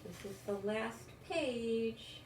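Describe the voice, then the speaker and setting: An older woman reading a children's story aloud in a gentle, expressive, encouraging way. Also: a little high, very slow. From United States. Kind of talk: read-aloud children's story with chatty asides. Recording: roomy echo, distant mic, faint noise.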